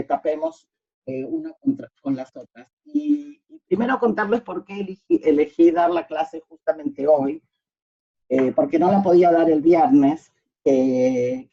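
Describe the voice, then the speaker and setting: A woman's voice: low voice, mellow, breathy edge. A woman leading an informal online group class, often checking with her listeners that they follow. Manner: frequent checking questions. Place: online video call. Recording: dull lo-fi video-call audio.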